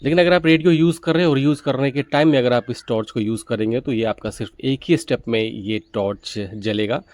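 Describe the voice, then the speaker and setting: A man's voice: mid-range voice, a little fast, darkish tone, no room sound. A man hosting a gadget review, explaining things methodically, step by step. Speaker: gadget reviewer, video host. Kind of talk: product review walkthrough, explanatory.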